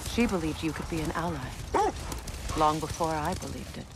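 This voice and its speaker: Low-voiced, blunt warrior queen. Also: normal speed, very noisy. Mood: assured, dry. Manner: frank, dry, assured. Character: blunt, wise warrior queen and seasoned sorceress. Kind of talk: video game acting.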